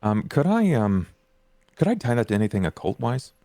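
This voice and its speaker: A man's voice: mid-range voice, very animated, brisk, mellow, no echo. A man playing a tabletop game, talking casually and somewhat tentatively. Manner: hesitant, tentative.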